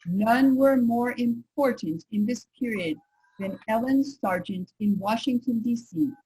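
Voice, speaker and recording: Woman playing earnest 1800s suffragist, really raspy voice, slow and emphatic, stage reading. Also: a little high, warm tone, rough recording. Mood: admiring, formal. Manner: measured, emphatic. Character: earnest, resolute 19th-century women's suffrage leader. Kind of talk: reader's theater recitation.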